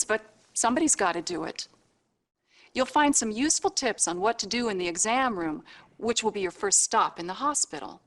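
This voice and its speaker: A brassy, no-nonsense hospital admitting nurse from a video game acted by a woman. She talks in a sassy, bossy, informal way, with wisecracks and a mid-pitched voice. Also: lightly acted. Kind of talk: scripted video game cutscene acting.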